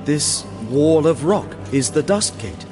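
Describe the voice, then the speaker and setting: An actor playing a proud, stern elf prince in an audio drama, speaking with formal, commanding gravity. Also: male voice, on the high side, expressive, takes it slowly. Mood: solemn, calm. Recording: echoing cavern reverb with music.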